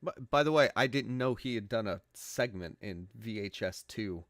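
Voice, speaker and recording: A man's voice: on the low side, animated, normal speed, a bit bright, no room sound. American man, dry close podcast voice. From United States. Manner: hesitant, restarts often, laughs along. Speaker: podcast co-host. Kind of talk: casual film podcast chat.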